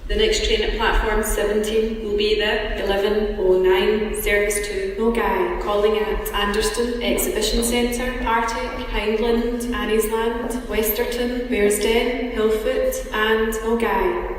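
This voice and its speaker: A woman reads formal platform announcements in a flat, even tone. The speakers are far from the microphone and her words echo heavily around a large station. There is faint ambient noise behind her. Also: mid-range voice, unhurried. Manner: formal, list-like delivery. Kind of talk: scripted public-address train announcements. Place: large echoing railway station. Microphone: distant recording of public-address speakers. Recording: echoing station tannoy from far off.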